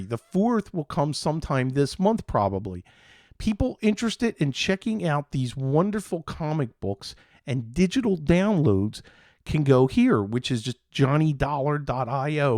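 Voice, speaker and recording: Slow-talking American man, fairly high voice. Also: darkish tone, dry recording, clean studio sound. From Philadelphia, United States. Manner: halting, meandering, enthusiastic. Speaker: show host. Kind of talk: casual podcast talk.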